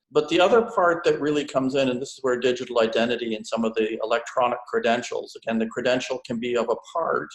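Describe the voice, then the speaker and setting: A man giving explanatory interview answers as an industry expert, in measured, formal wording with hesitations. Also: mid-range voice. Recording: roomy, echoey sound.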